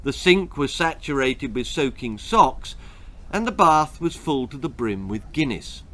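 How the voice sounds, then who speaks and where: A man's voice: medium pitch, expressive, a little slow, a bit bright. A man narrating a children's story in a dramatic, scripted storytelling style. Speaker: author reading his own story.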